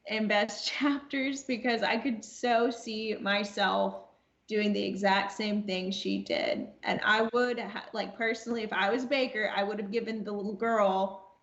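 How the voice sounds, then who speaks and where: A woman's voice: medium pitch, fairly even intonation, unhurried. A woman chatting as a podcast guest, making her points emphatically. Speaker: podcast guest, audiobook cast member. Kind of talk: casual discussion. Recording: echoey room, muffled audio.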